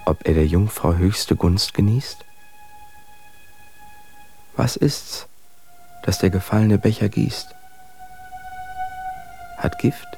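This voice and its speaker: Deep, gravelly, anguished male poet. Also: expressive, slow pace, pauses often, darkish tone, faint music behind, full character voice. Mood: anguished, fervent. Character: anguished romantic male poet reciting feverish verse. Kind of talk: poetic recitation in radio drama.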